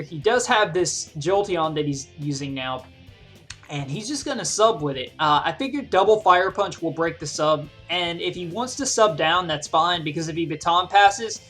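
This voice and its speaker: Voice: medium pitch, a bit bright. A video creator giving conversational play-by-play game commentary, explaining each decision as they think it through aloud. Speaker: gaming video creator commentating own battle. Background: music behind the voice.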